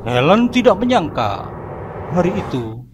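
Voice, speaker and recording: A man's voice: fairly high pitch, a little slow, background noise. A man narrating a horror cartoon in a dramatic, scripted storytelling style. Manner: dramatic, expressive.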